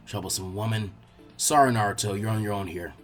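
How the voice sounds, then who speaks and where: A man's voice: fairly low pitch, fairly quick, soft background music, voice pushed down, close to an everyday voice. Man doing a bland, lazy teenage ninja boy. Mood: smug, weary. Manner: bland, deadpan.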